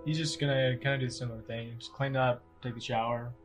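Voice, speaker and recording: A man's voice: medium pitch, fairly even intonation, quick pace. A man playing a tabletop game, casually narrating his character's actions in the third person. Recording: prominent music under the voice. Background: background music.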